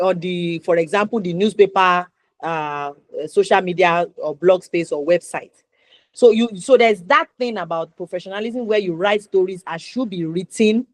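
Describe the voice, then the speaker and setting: A woman's voice: lowish voice, a little mellow, no echo. A woman with a Nigerian accent hosting a conversational interview, talking at length and circling back to rephrase her points. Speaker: interview host.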